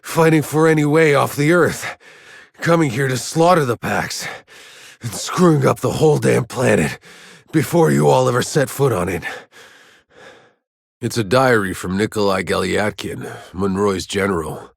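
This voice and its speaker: A man voicing a gruff, battle-weary soldier in a video game, sardonic and hard-bitten, with terse delivery. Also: medium pitch, expressive, measured pace, dry recording, studio quality. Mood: bitter, then grim, reflective. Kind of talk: scripted game dialogue.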